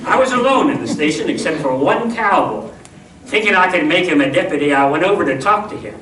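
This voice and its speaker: A man's voice: a little high, lively intonation, a little fast, crowd noise, natural voice. An actor playing a hapless, exasperated town marshal narrating his story in a comic old-time radio show. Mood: rueful storytelling. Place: live show in a room before an audience. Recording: roomy, distant live stage recording, noisy.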